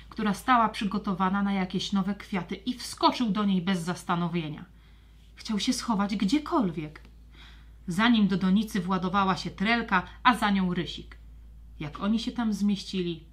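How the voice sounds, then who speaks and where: A woman narrating a scripted children's story in a dramatic, expressive manner. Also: medium pitch.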